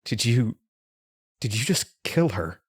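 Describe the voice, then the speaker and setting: A man's voice: mid-range voice, big pitch swings, husky, dry recording, clean studio sound, exaggerated voice. An actor playing a frightened, bewildered young man held captive in a horror audio drama, speaking hesitantly. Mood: confused, alarmed. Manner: hesitant, shaken, halting.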